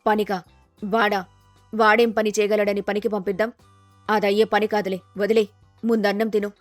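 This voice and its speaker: A woman's voice: mid-range voice, level tone, normal speed, dry recording, faint music behind, lightly acted. Woman playing a grumbling older village mother-in-law. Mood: scornful. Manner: dismissive, muttering asides.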